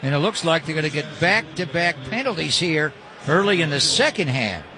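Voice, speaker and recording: Loud stadium noise surrounds a fairly high-voiced American man. He calls live football for radio in clipped, present-tense phrases, as a play-by-play announcer does. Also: lively intonation, moderate pace. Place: stadium broadcast booth.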